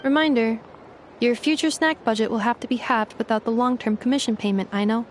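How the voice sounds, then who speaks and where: A woman's voice: fairly high pitch, steady tone, brisk, slight background noise, lightly acted. A woman voicing a polite, dryly strict robot assistant with a clipped, formal, matter-of-fact manner in a video game.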